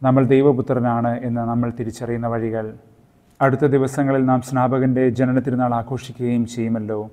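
A man from Kerala delivers a scripted sermon as a podcast host. His voice is mellow and dark, and it stays level and even from phrase to phrase. He talks in a measured way and slips English phrases into his reflection. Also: mid-range voice. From Kerala, India. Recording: intro with music and roomy hall reverb.

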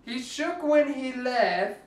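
A man performs a storybook in dialect with playful exaggeration. His pitch swings widely as he takes his time over each line. He is recorded at a distance from the mic in an echoey room. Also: lively intonation, slow pace. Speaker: storyteller reading a picture book aloud. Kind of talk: theatrical storybook reading in Cajun dialect.

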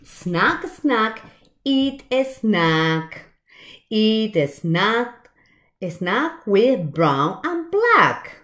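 Very slow, animated woman reading to children. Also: mid-range voice. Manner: expressive, with asides and questions to the listeners. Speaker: children's storytime reader. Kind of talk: reading a picture book aloud to young children. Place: small indoor room with shelves.

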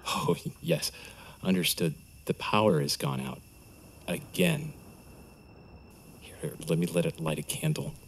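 An actor playing a nervous man who killed his wife, muttering to himself in hushed tones in an audio drama. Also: low-pitched, sing-song, measured pace, pauses often, a little hiss or hum, heavily acted. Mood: tense. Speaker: murderer hiding his wife's body.

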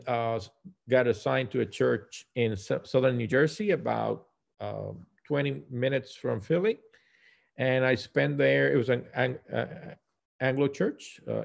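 A man in his fifties from Puerto Rico with a Puerto Rican accent, a native Spanish speaker, talking conversationally as a guest pastor on a video call, halting and correcting himself. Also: mid-range voice, vocal fry. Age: 50s. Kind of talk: conversational personal account. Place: small room at home, indoors.

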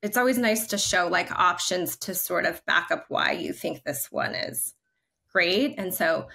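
A woman's voice: fairly low pitch, expressive, measured pace, vocal fry, bright, forceful tone. A woman in her forties, a guest on a video-call interview, talking in an upbeat, chatty way with lots of fillers from her living room. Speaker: guest, creative brand leader. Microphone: video call, laptop camera.

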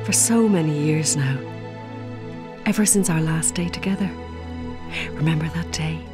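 A wistful, quietly sad woman, played by an actress, speaks in a slightly low voice with a brave front. It is audio drama, with music clearly playing behind her. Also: normal speed, lightly acted. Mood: wistful, tender. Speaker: actor. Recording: music under the voice.

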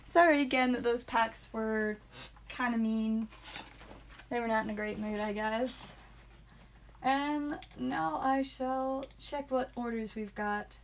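A woman hosting a live card pack-opening stream, chatting playfully and saying sound effects aloud, with a calming voice. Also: on the high side, slow pace, slight background noise. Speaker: trading card stream host. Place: indoor room with whiteboard.

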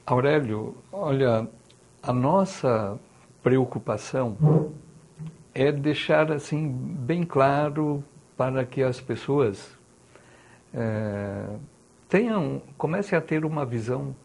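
A man, a guest on a studio talk show, explaining things in a slow, deliberate way. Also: mid-range voice, creaky, darkish tone, rough recording. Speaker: guest, charity president. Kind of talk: interview answers. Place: TV studio set, indoors.

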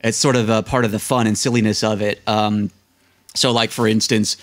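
A man's voice: on the low side, level tone, moderate pace, no room sound. American man telling anecdotes, dry close sound. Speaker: podcast guest. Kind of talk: casual interview anecdotes. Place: small indoor room, seated at desk. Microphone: close desk microphone on video call.

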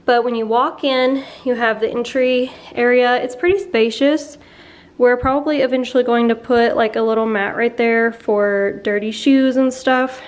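A woman's voice: on the high side, fairly even intonation, normal speed. A young woman casually narrating a walkthrough of her home as she films it, talking in a chatty, offhand way. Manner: chatty, offhand, trails off mid-thought. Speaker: vlogger giving a home tour. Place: rooms of a mobile home. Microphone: handheld camera while walking.

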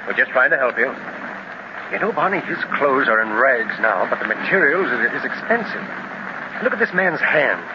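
An actor playing a calm, commanding adult leader of an adventure party in a 1930s radio drama, steadily giving orders. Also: male voice, medium pitch, normal speed, muffled recording, close to an everyday voice. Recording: scratchy old radio transcription with hiss.

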